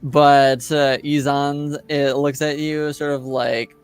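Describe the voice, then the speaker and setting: A tabletop roleplaying player casually describing characters' reactions in a hesitant, halting way. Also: medium pitch, flat delivery, smooth, faint music behind. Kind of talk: casual improvised roleplay narration.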